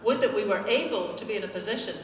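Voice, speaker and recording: A woman's voice: medium pitch, quick pace, slight background noise. A woman with a Northern Irish accent giving a witty, pointed talk to a live audience. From Northern Ireland. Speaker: speaker at a live political event. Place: theatre hall. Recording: echoey hall, speaker far from mic.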